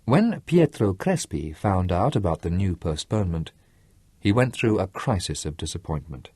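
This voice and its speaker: Deep, husky male storyteller. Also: very animated, moderate pace, warm tone, no echo. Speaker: audiobook narrator. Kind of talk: scripted literary story narration.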